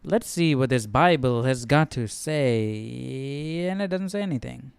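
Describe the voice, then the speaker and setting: Smooth-voiced man musing over scripture. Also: mid-range voice, animated, measured pace, no echo. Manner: thinks aloud, musing, hesitant asides. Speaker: host of a Bible study. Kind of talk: casual reading and commentary.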